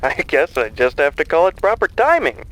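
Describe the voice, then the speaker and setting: A man's voice: a little high, wildly swooping pitch, brisk, a bit bright, heavily acted, poor audio. A man playing a gleeful, sinister mastermind in an audio drama, teasing and falsely soothing. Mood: coy, smug. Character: gleeful, mocking, sinister male mastermind on the phone.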